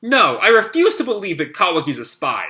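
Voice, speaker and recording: A man reading aloud a manga page, voicing a hotheaded teenage boy ninja who shouts and protests. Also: high-pitched, big pitch swings, brisk, voice pushed up, heavily acted. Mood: protesting. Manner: shouting, indignant.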